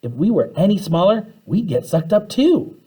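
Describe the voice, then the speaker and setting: A man voicing curious, excitable young school children in a storybook, wide-eyed and questioning. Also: sits high, moderate pace, breathy edge, pitched above the performer's own voice, exaggerated voice, poor audio. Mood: excited. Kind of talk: children's storybook read-aloud.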